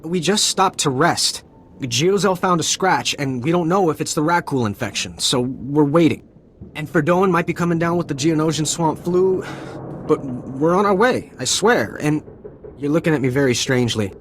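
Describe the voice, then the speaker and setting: A man's voice: fairly high pitch, lively intonation, normal speed, slight background noise, lightly acted. An actor playing a worn-out soldier who has deserted, stammering and nervous as he talks. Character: weary young male deserter soldier, nervous. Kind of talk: video game cutscene.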